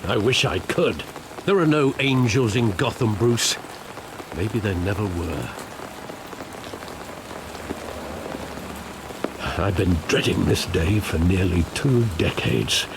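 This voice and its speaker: An actor playing a grave, devoted elderly butler in a video game, speaking in a measured, earnest, confessional manner, weary and remorseful. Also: male voice, lowish voice, animated. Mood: regretful. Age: older. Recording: clear rain noise behind. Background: rain.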